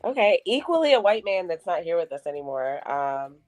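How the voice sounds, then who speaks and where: A woman with a notably low, deep-set voice talks casually on a podcast. She is blunt and profane and laughs often as she chats. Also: sing-song, fairly quick, a little mellow. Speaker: podcast co-host.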